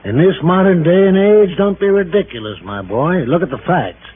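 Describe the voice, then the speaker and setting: An actor playing an older, condescending doctor who lectures and scoffs in a 1950s radio drama. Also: male voice, high-pitched, expressive, moderate pace, a crowd in the background. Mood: scoffing. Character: brisk, condescending older male country doctor. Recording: narrow, noisy old radio broadcast.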